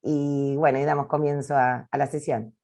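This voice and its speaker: A woman's voice: very deep voice, fairly even intonation, normal speed, mellow. A woman giving a formal welcome as host of an online event and introducing a guest speaker.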